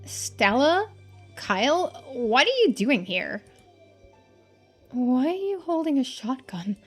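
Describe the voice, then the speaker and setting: An irritated, anxious woman from a story game, put on by a female streamer, with a high voice. She is guarded and scolds defensively over a music bed. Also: sing-song, slow pace, slightly rough, exaggerated voice. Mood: irritated worry.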